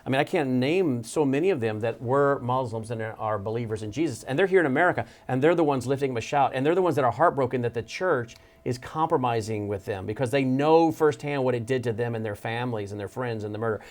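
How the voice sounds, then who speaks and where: A man's voice: mid-range voice, fast talker, few pauses. Guy hosting a studio talk show at a desk, emphatic and urgent, mid-range voice. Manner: emphatic, urgent, building intensity. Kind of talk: studio interview.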